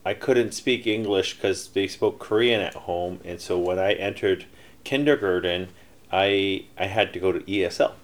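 A man's voice: deep voice, normal speed. A middle-aged man telling his life story in a casual interview, rambling with many fillers and restarts. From Canada. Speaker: interview guest, entrepreneur.

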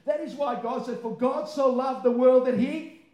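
A man preaches in a high, mellow voice, speaking English as his second language. He leans hard on key words, drawing them out, then slips into quick informal asides and questions to his listeners. The room echoes clearly around him. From born abroad, moved to Australia aged nine. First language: not English; English is a second language. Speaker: pastor preaching. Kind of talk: sermon to a live congregation. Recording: roomy, echoing live sermon sound.

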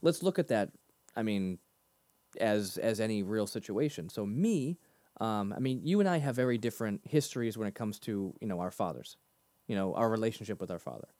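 A man co-hosting a casual faith discussion, talking animatedly and breaking into higher voices when he quotes himself. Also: brisk, no echo. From Boston area. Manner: animated, laughs often, jumps pitch in quoted asides. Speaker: podcast co-host.

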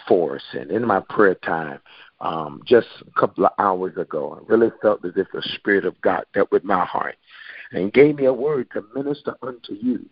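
Low-voiced preaching man on a call. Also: slightly rough, dry recording, highs cut off. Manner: preacherly, quotes King James scripture with emphasis. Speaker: pastor and prophet. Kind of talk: preaching update to his congregation. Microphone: phone call.

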